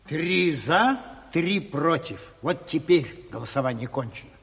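An actor playing a fussy, stubborn, argumentative official in a radio play, speaking insistently. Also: male voice, fairly high pitch, animated, measured pace, creaky, fairly bright tone, a little hiss or hum, heavily acted. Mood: indignant. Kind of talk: naturalistic radio play acting. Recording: reverberant room.

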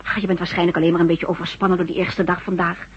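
Husky, soothing woman, muffled sound. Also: lowish voice, flat delivery, racing. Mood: worried. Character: caring, watchful, soothing woman at home. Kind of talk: radio drama acting. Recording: muffled old radio recording.

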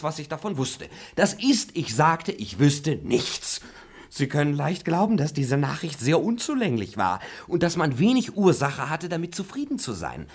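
An actor playing a cheeky young manservant who mocks and teases his master with a husky voice in a radio play. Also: on the high side, full character voice. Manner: teasing, laughs at his master. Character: cheeky scheming young manservant, mocking liar. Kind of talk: stage radio play acting.

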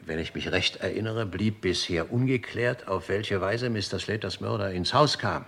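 An actor playing an older, formal manservant in a German radio crime drama, deferential and deliberate, with a husky, gravelly voice. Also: male voice, lowish voice, fairly bright tone, full character voice. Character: dutiful, formal old-school manservant of a murdered gentleman.

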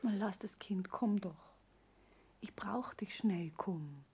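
A woman's voice: mid-range voice, slow, warm tone, breathy edge, heavily acted. An actress playing a pleading young woman in a naturalistic radio play, intimate and pressing. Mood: intimate, coaxing. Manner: pleading, intimate, often dropping to a whisper. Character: pregnant young woman pressing her lover to marry.

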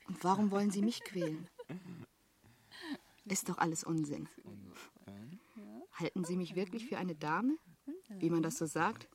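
A woman's voice: medium pitch, a little mellow, lightly acted. Actress as an earnest, confiding young working woman. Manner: intimate, urgent, sincere. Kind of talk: German radio drama acting.

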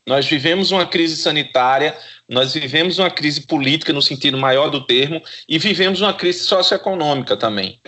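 A man talks as an academic guest in an interview. His voice is fairly high and quite bright, and he explains things in a didactic way. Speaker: guest expert, psychologist and professor.

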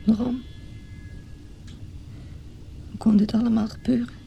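An actress playing a wounded, bitter mistress in her thirties in a radio drama, speaking in a breathy, halting, often whispered voice. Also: a little high, flat delivery, mellow, a little hiss or hum. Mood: desperate pleading. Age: 30s. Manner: slow, halting, anguished. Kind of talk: radio drama acting, intimate inner monologue.

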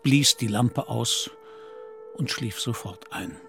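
The narrator of a literary radio play. His mid-range voice is composed and measured. Music is clearly heard behind the narration. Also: medium pitch, fairly bright tone. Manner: measured storytelling.

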